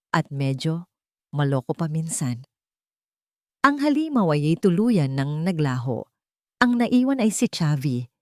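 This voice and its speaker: A woman reads a dramatic love story in a fairly low voice. Her phrasing is deliberate and sentimental, and the sound is dry and close. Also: no room sound. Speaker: story narrator. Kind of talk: scripted dramatic narration of a romance story.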